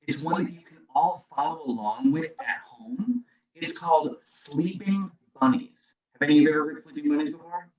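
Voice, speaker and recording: A man's voice: a little high, moderate pace, darkish tone, reverberant, recorded from a distance, lo-fi sound. A man guesting as a children's musician, explaining things simply and encouragingly to young listeners. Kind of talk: children's singalong and friendly explaining. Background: acoustic guitar.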